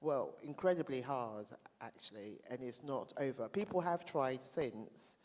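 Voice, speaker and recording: Earnest campaigner speaking on a stage. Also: male voice, mid-range voice, expressive, darkish tone, in a large hall. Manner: earnest, halting, stumbles over words. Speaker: campaigner on a panel. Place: stage in a large hall.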